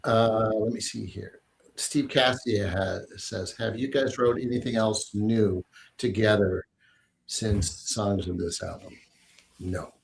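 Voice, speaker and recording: A man's voice: lowish voice, steady tone, slow pace, gravelly. A man hosting a casual listening stream about his album, chatty and self-deprecating, laughing often. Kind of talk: casual chat.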